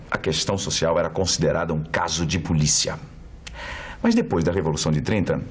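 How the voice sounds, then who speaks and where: A man with a Brazilian accent giving scripted, colloquially worded explanatory narration for a documentary. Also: low voice. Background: faint music.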